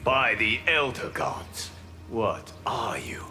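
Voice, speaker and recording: An actor playing a solemn, righteous god in a video game, speaking in a formal, grave manner. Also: male voice, mid-range voice, very animated, slow pace, music in the background. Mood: solemn defiance. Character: solemn, righteous male god guarding time.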